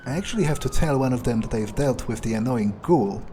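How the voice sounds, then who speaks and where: A man's voice: medium pitch, a little fast, warm tone, slight background noise, lightly acted. Man role-playing a sardonic young vampire, musing aloud. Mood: musing, wry. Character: cocky, casual young male newly turned vampire. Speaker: gamer role-playing his character. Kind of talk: in-character video game playthrough, improvised musing.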